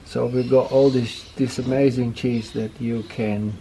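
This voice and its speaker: A man's voice: mid-range voice, fairly even intonation, measured pace, creaky, mellow, a little hiss or hum, poor audio. A man from the French-speaking part of Switzerland with a Swiss accent, chatting enthusiastically on a visit to a small cheese factory. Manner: chatty, enthusiastic, switches between English and Swiss German. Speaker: vlogger's father, visiting guest. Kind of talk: casual chat on camera. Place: rural cheese factory in the bush.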